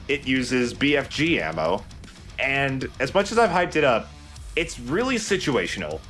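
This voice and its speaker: A man's voice: mid-range voice, fairly bright tone. A man hosting a let's play with casual, conversational commentary over a video game.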